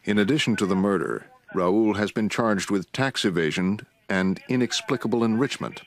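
A man narrates a broadcast documentary in a measured, steady way. His voice sits on the lower side, and the read is calm and scripted.

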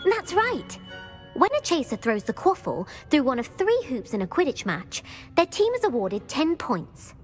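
A woman's voice: high-pitched, very animated, normal speed, faint music behind. A young woman voicing a bookish student in a video game who eagerly lectures and quizzes others. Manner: eager and lecturing, bursts of praise.

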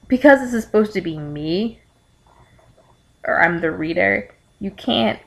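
Creaky-voiced young woman, rambling and exasperated. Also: lowish voice, fairly bright tone. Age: young adult. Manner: halting, rambling, exasperated asides. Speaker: amateur vlogger reading fan fiction aloud. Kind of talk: casual reading with off-the-cuff critical commentary. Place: living room at home.

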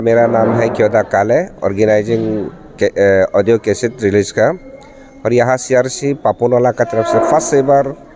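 A middle-aged man, the event organizer, speaking to news cameras in a church hall with halting pauses and fillers. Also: on the low side, a little mellow. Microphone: handheld news mics in front.

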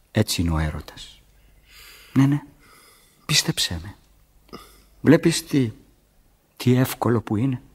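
An actor playing a weary, resentful servant in theatrical radio drama, husky, brooding and sardonic. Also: male voice, fairly low pitch. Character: weary, resentful male servant in an absurdist play. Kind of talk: radio theatre acting in Greek.

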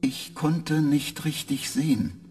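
An actor playing a weary male spaceship computer that answers in halting, clipped, literal sentences. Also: a little high, fairly even intonation, darkish tone, slight background noise. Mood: flat.

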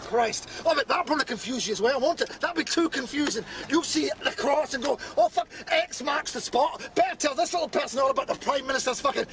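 A man's voice: a little high, expressive, a little fast, close to an everyday voice. An actor playing a ferociously angry government spin doctor, a profane and sarcastic Scottish man in a scripted screen satire. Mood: furious. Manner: profane, menacing, sarcastic, bullying. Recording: noisy location film or TV sound.

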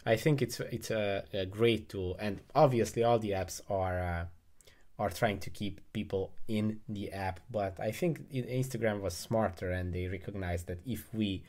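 Young host guy, lowish voice, earbuds in, asks lots of follow-up questions. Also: moderate pace, darkish tone. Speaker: interview host. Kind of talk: casual interview over video call. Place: indoors, home room with gaming chair. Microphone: earbuds on video call.

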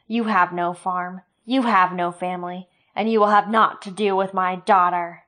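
Woman doing a furious male butcher, snarling, slow and loud, flat pitch, storybook villain. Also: natural voice. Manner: bitter, snarling accusations.

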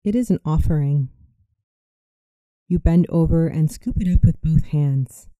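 A narrator guiding a scripted visualization meditation in a soothing, measured way. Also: mid-range voice, warm tone, no room sound, lo-fi sound. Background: music.

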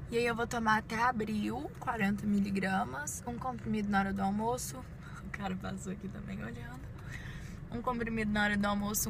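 A Brazilian woman in her twenties chatting informally to viewers inside a parked car, busy with her makeup as she talks. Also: medium pitch. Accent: Brazilian Portuguese. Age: 20s. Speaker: beauty vlogger. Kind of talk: casual chat to viewers while doing makeup. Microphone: phone at arm's length. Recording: clear vehicle and ambient noise.

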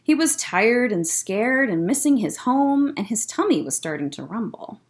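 A young woman reading a children's picture book aloud as a librarian storyteller, expressive and deliberate. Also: on the high side. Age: young adult. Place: indoor library room.

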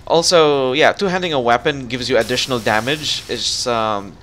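A man talking his partner through a game in a relaxed, instructive way, his voice at an ordinary pitch. A faint hiss of noise sits under the recording. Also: normal speed. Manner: instructive, coaching. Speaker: gamer guiding a co-op partner. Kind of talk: casual gameplay chat.

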